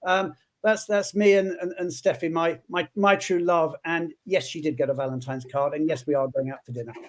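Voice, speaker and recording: A man's voice: a little high, steady tone, fairly quick. A man hosting a live web panel, introducing guests and asking questions, often repeating words as he restarts. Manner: friendly, stammering restarts. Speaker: host.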